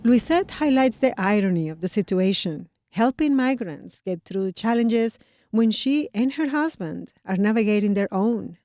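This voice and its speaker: Woman radio reporter, measured scripted narration, mellow, mid pitch. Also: animated, dry recording, clean studio sound. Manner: measured, clear storytelling. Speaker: news reporter.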